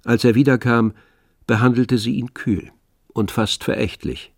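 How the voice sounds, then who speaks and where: A man's voice: mid-range voice, fairly even intonation, unhurried, dry recording, clean studio sound. A man narrating a literary radio play from a script. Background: faint instrumental music.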